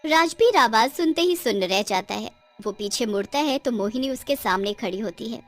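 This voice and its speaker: A woman's voice: a little high, animated, normal speed, fairly bright tone, faint music behind. A woman reading dramatic scripted narration for a cartoon horror story.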